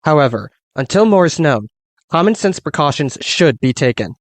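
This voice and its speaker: A man playing a composed evening news anchor, reading scripted news in a formal, measured way. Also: a little high, big pitch swings, no echo.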